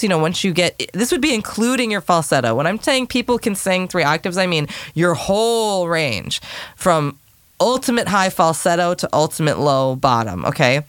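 A woman vocal coach with a low voice, chatting through viewer questions on singing and demonstrating now and then. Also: no echo. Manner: chatty, demonstrative, sings sample phrases.

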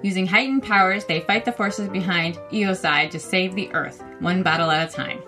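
A woman reading a scripted sponsor ad in a smooth, even delivery. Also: lowish voice, normal speed. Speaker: sponsor announcer. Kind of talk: scripted ad read. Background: music.

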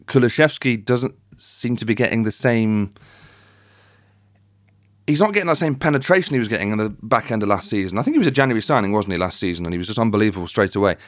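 A man's voice: fairly low pitch, animated, fast, dry recording. A man hosting a casual football chat podcast. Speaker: podcast host.

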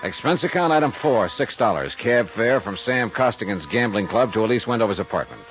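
Hard-boiled radio private eye: low, world-weary, wry, music under a dull vintage recording. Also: male voice, lively intonation, brisk, fairly bright tone. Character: dry, hard-boiled male private investigator narrating his case. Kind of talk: 1950s radio detective drama acting. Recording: muffled old 1950s radio recording, music behind.

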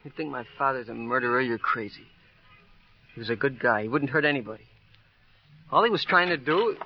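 A man plays a young American son, defiant and loyal, in an old radio crime drama. His voice sits at a middling pitch. The old recording is muffled and faintly noisy. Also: fairly quick, close to an everyday voice.

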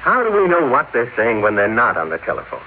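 A man's voice: medium pitch, wildly swooping pitch, fast, narrow, tinny audio. An actor playing a suspicious, obsessive, argumentative businessman in an old-time radio drama. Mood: scheming. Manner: insistent, argumentative. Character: suspicious, obsessive, pushy businessman, elder brother. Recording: thin old radio broadcast, faint noise.

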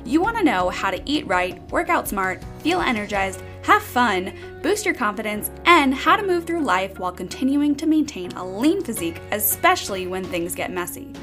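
A woman's voice: sing-song, normal speed, hardly any pauses, fairly bright tone, soft background music. A young woman hosting a podcast, reading an upbeat intro and then chatting casually, saying "like" a lot. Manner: upbeat, bouncy pitch, says "like" a lot. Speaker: podcast host.

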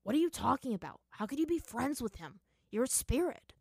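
A woman's voice: a little high, lively intonation, fairly quick, gravelly, no echo, clean studio sound, pitched above the performer's own voice. Woman acting sullen, distrustful teenage boy. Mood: incredulous. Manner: skeptical, complaining. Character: moody, distrustful teenage boy, argumentative and skeptical.

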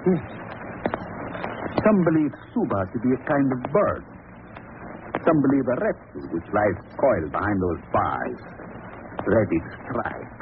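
An actor playing a sinister, mock-courteous villain in a theatrical 1940s radio drama style. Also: male voice, mid-range voice, expressive, measured pace, pauses often, lightly acted. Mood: gloating. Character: sinister, gloating male villain with a deadly caged servant. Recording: noisy, tinny old radio recording.

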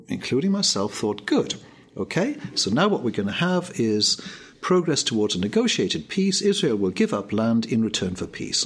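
An older man from Wales, answering interview questions in a measured, thoughtful way. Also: mid-range voice, husky. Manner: measured, thoughtful, with pauses and fillers.

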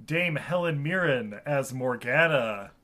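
A man's voice: mid-range voice, expressive, slow, bright, forceful tone. A young man hosting a casual movie podcast, running through cast and crew lists with lots of ums and laughter. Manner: laughing often, listing credits with filler ums. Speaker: podcast host.

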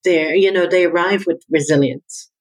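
A woman's voice: lowish voice, normal speed, dry recording. A woman from Newfoundland with a Newfoundland accent, a business coach talking earnestly as a podcast guest. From Newfoundland, Canada. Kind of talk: conversational interview.

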